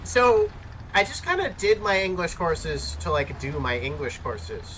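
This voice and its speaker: A man's voice: on the high side, measured pace, slight background noise, low-quality recording. A young man chatting casually and jokingly while gaming, swearing freely. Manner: animated, jokey, swears freely. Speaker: gaming streamer.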